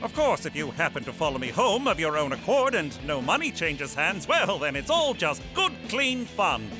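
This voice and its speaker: A man's voice: fairly high pitch, big pitch swings, moderate pace, music in the background. A man voicing a smug, lecherous federal agent who talks in sly asides.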